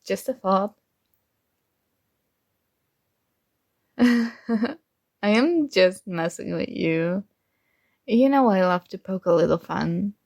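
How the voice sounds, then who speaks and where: A woman playing a secretly jealous, teasing roommate in an intimate one-sided ASMR roleplay, with hesitant pauses. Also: medium pitch, darkish tone. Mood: playful teasing. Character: secretly jealous female roommate, teasing, hurt but feigning indifference.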